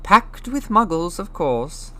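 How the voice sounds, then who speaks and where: A man voicing a plump, kindly middle-aged mother in an audiobook, brisk, motherly and reassuring. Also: high voice, big pitch swings, sharp tone, pitched above the performer's own voice, heavily acted. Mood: chatty, brisk. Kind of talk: audiobook character voice.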